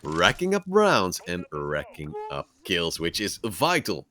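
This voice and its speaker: A low-voiced man calls the action of an online shooter match in a steady play-by-play. He drifts into loose, self-mocking asides between rounds. Players' voice chat keeps going underneath him. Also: a little slow, crowd noise. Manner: chatty, self-deprecating, jokey asides. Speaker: esports caster. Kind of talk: esports play-by-play commentary. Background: players' voice chat in another language.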